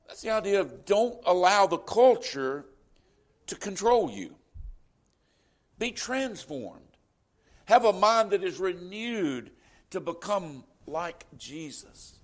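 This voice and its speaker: Male pastor, Southern US accent, middle-aged, slightly higher voice, folksy conversational sermon delivery. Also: very animated, slow. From Florida, US. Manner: conversational preaching with frequent "you know".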